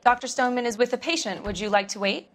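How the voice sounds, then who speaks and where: An actress playing a polite, prim doctor's receptionist in a video game drama. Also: female voice, fairly high pitch, fast, lightly acted.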